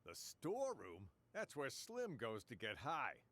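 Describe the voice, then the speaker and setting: A man's voice: mid-range voice, huge pitch swings, measured pace, a bit bright, dry recording. A man playing a flustered, practical man in charge backstage in an audio drama. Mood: brisk, practical. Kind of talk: interactive radio drama acting.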